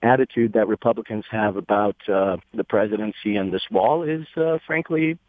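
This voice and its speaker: A man's voice: fairly low pitch, normal speed, dry recording. A man from Romania calling in to a radio show, talking emphatically and with indignation. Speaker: caller. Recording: tinny phone line.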